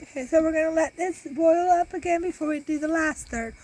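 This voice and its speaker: A woman's voice: very high-pitched, brisk, background noise. Woman losing her voice, chatty farm how-to. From Iowa, US. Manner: chatty, explains step by step with many restarts. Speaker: homesteader and beekeeper. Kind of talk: casual how-to cooking demonstration. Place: outdoor back deck in a farm yard. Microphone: phone camera held close.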